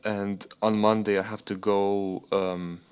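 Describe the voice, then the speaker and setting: Young male podcast co-host, slightly low pitch, profane, meandering, off-the-cuff delivery. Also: flat delivery, slow, slight background noise. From Serbia. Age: young adult. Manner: rambling, profane. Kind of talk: casual motorsport podcast chat. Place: remote voice chat.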